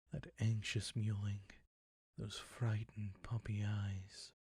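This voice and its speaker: A man playing a predatory, possessive vampire count in an intimate audio roleplay, teasing and commanding in a husky, breathy voice that often nears a whisper. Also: lowish voice, a little mellow, no echo, studio quality, full character voice. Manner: slow, deliberate, silky teasing, commanding.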